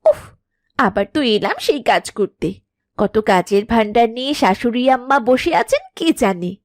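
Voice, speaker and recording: High, swooping young village wife. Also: female voice, very animated, moderate pace, dry recording, clean studio sound. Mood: grumbling. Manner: pleading, whiny, exaggerated. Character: work-shy young farmer's wife, wheedling, sulky, scheming. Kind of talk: animated moral-story cartoon dialogue.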